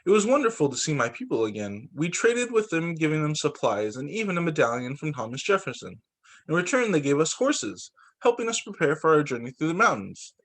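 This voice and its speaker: Man reading aloud with very lively pitch. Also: fairly quick. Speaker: teacher reading a picture book aloud to students. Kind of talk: expressive read-aloud storytelling.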